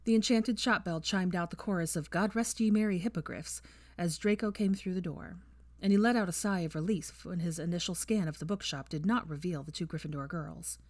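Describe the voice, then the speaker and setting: Low-voiced woman reading a story. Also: a little fast, a little mellow, no room sound, clean studio sound. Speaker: audiobook reader. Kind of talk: fan fiction audiobook narration.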